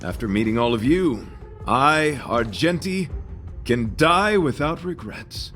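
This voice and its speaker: A man's voice: medium pitch, animated, slow, a bit bright, soft background music. A man playing a gallant, solemn knight in a video game, with formal, florid, grandiose diction.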